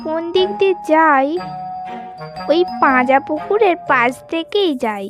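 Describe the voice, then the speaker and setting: Slow-talking, high-voiced little cartoon child. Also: female voice, expressive, over music. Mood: forgetful grumbling. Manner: innocent, teasing, chattering. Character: naive, cheeky, chatty little schoolchild. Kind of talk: Bengali children's cartoon dialogue.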